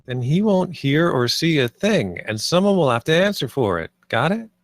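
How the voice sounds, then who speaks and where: A man's voice: a little high, big pitch swings, moderate pace, no echo. Earnest male actor as idealistic designer, dry sound. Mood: firm. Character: wistful, idealistic male theme-park designer, dejected yet determined. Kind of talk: live screenplay table read.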